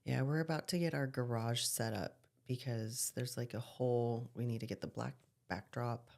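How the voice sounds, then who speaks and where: A woman's voice: a bass voice, fairly even intonation, moderate pace, gravelly, darkish tone. A forty-year-old woman co-hosting a casual podcast, chatty and upbeat, laughing and filling her talk with "like". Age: 40s. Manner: chatty, upbeat, laughs and uses many fillers. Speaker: podcast co-host.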